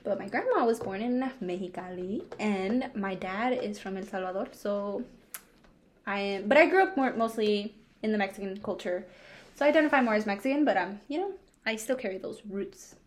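A woman in her twenties co-hosting a podcast, chatting casually with quick teasing and slang. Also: mid-range voice, expressive, slight background noise. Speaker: podcast co-host. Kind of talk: casual chat.

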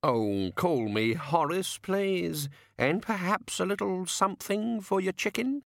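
Man putting on flustered courtly old academic, high-pitched. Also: animated, slow, creaky, a bit bright, no room sound, clean studio sound, full character voice. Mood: awkwardly courtly. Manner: fussy, hesitant, polite.

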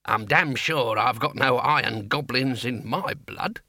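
A man's voice: medium pitch, unhurried, sharp tone, dry recording, clean studio sound. A man voicing a pedantic, sarcastic wizard philosopher in a comic fantasy audiobook, with a nitpicking manner. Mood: sceptical. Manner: nitpicking, mockingly rhetorical.

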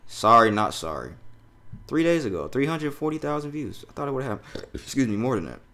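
A young man from Jamaica hosts a loose, profane podcast chat. His pitch climbs and drops freely from one line to the next, and he flips between loud outbursts and near mumbles. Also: big pitch swings, a little fast. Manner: loose, profane, laughing, swings loud and quiet. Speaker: podcast host. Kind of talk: casual podcast chat, reacting to a song.

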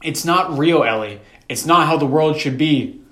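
A man's voice: medium pitch, moderate pace, reverberant, lightly acted. A man voicing an earnest, determined older brother, a boy who talks in a reassuring, insistent way in a story. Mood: agitated, insistent.

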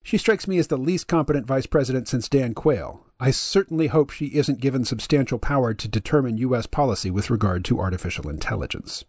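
Man, deep voice, podcast host, measured and dry delivery, mid pitch, dry close sound. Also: lively intonation, darkish tone, no room sound. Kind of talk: reading a dialogue aloud.

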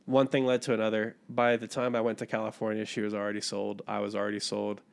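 A young man in his twenties talks quickly in a lowish voice. He tells his stories with playful jokes in a laid-back chat among friends. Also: completely flat delivery, gravelly. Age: 20s. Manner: joking, upbeat storyteller. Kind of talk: casual chat.

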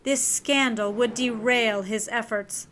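A woman playing an imperious, scheming elderly grandmother in a 1930s noir audio drama, stern and measured. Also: on the high side, steady tone, fairly bright tone. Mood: grave, haughty. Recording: clear background noise.

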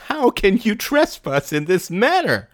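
A woman's voice: rumbling low, big pitch swings, unhurried, no echo, clean studio sound, voice pushed up, full character voice. A man doing a sly, giggling woman trickster. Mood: gleeful. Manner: gentle, teasing, giggling.

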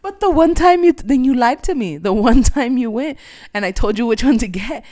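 A woman's voice: a little high, lively intonation, normal speed, a little mellow. A young woman chatting casually as a podcast co-host, rambling, teasing and giggling often. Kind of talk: casual banter.

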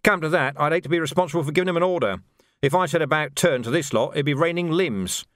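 A man's voice: a little high, fast, fairly bright tone, no room sound, pitched above the performer's own voice, lightly acted. A man voicing a world-weary, authoritative watch sergeant in an audiobook, speaking tersely and with dry wit. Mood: wry. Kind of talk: audiobook character voice.

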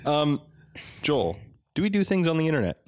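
A man's voice: fairly high pitch, big pitch swings, moderate pace, slight background noise. A young man hosting a casual podcast with a playful, joking manner. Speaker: podcast host. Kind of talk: casual chat.

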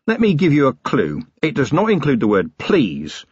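A man voicing a weary, sardonic older police commander with a dry, gruff, commanding manner in an audiobook story. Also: mid-range voice, huge pitch swings, normal speed, darkish tone, dry recording, dull audio, pitched above the performer's own voice. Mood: gruff, impatient. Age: middle-aged.

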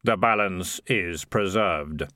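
A man voicing Death, a grave and aloof male reaper who speaks slowly and solemnly. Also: low voice, bright, forceful tone, dry recording, studio quality, voice pushed down, exaggerated voice. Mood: solemn, dry. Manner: slow, solemn, measured.

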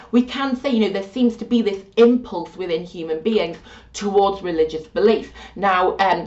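A woman teaching a religious studies lesson in a chatty, animated way with self-deprecating asides, her voice getting croaky. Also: medium pitch, far from the mic. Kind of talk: informal video lesson. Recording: muffled, roomy, from off-mic.

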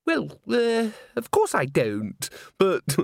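A man's voice: high-pitched, wildly swooping pitch, takes it slowly, vocal fry, no room sound, clean studio sound, pitched above the performer's own voice, full character voice. A man voicing an airy, dismissive young woman in a storybook, with a breezy, condescending manner. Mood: flustered.

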